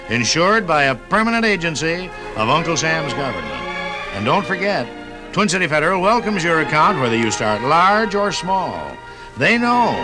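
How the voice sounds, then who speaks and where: An American man narrates a scripted 1950s radio program. His voice sits a little high and swings with lively intonation. Music runs with the broadcast. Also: low-quality recording. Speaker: radio host and sponsor announcer.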